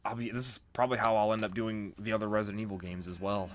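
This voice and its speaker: Low-voiced man giving casual game commentary. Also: flat delivery, fast, a little hiss or hum. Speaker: let's play gamer. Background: game music.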